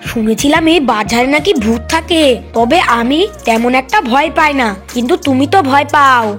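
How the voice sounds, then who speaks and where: A high-pitched cartoon child, played by a woman, earnest and sure. The delivery is matter-of-fact, with faint music underneath. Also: normal speed, a bit bright. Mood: earnest, confident. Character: earnest, confident child advising a timid father. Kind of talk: Bengali cartoon voice acting.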